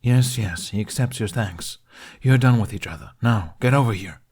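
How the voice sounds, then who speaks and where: A man's voice: lowish voice, moderate pace, a little mellow, breathy edge. A man voicing a bossy, impatient male dragon in an audiobook, fussily scolding his human companion. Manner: imperious, fussy, impatient.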